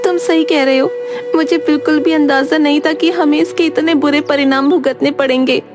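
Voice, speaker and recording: Woman voicing Chanchal, an earnest, shrill-pitched villager. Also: steady tone, normal speed, music in the background, lightly acted. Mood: remorseful. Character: Chanchal, earnest remorseful young villager who feels cheated. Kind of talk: dramatic Hindi cartoon story voice acting.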